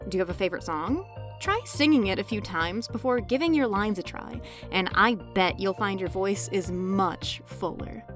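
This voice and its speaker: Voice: medium pitch, animated, normal speed, fairly bright tone. A woman narrating a scripted tutorial as host, with a playful, cheeky manner and joking asides. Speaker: host, indie voice actor giving tutorial. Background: background music.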